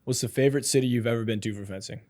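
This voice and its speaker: A man's voice: mid-range voice, fairly even intonation, very fast, a little mellow. A man co-hosting a podcast, asking questions with dry joking asides. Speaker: podcast co-host. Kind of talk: casual podcast chat.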